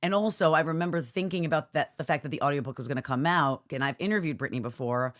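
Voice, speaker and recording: A woman's voice: deep voice, quick pace. An American woman co-hosting a podcast in casual, chatty banter, slangy and reactive, often echoing what she hears. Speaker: podcast co-host.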